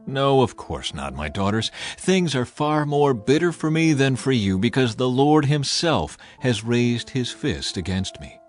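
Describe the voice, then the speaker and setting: A man's voice: medium pitch, big pitch swings, a little slow, few pauses, faint music behind. A man voicing a bitter, grieving older widow in a storybook-style scripture reading, pleading earnestly.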